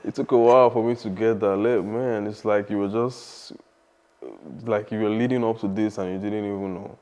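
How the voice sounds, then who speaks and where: A young man, talking casually as a podcast guest in a relaxed, rambling way. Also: fairly low pitch, moderate pace. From Nigeria. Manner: relaxed, rambling, many fillers and restarts.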